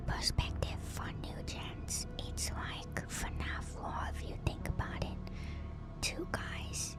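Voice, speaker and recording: A woman doing a hushed, intimate ASMR stream, reading lore in a soft breathy whisper and adding casual asides to her viewers. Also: on the high side. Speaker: streamer host. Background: music.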